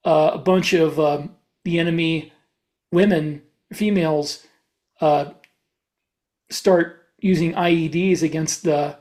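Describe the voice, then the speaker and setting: A man's voice: on the high side, fairly even intonation, slow, pauses often, a little mellow. A man who hosts a podcast and writes science fiction, talking casually and restarting his explanations as he goes.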